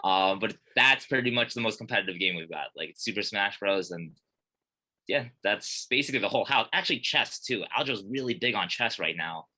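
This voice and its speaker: A young man, born in Korea and raised in Colorado, chatting as a guest from a small gym office in a relaxed, rambling way with many fillers. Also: on the low side, a little fast. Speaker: guest, fighter and gym manager. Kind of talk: casual interview chat.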